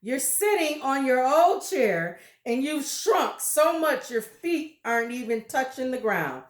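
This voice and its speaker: A woman putting on a spiteful old man from a storybook, jeering and gloating over his frightened wife. His voice swings widely and lands its insults slowly and loudly, in an echoey room. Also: sits high, big pitch swings, pitched above the performer's own voice. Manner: taunting, mock-alarmed, jeering. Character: nasty gloating old husband, cruel trickster.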